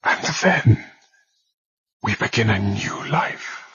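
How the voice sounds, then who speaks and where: An actor playing a sinister, confident male villain in a radio drama, speaking with slow, possessive deliberation. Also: fairly low pitch, expressive, gravelly, bright, forceful tone, breathy edge, no echo, lo-fi sound, heavily acted. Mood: quietly gloating. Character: sinister, domineering, silkily confident male villain, devoted to his mother.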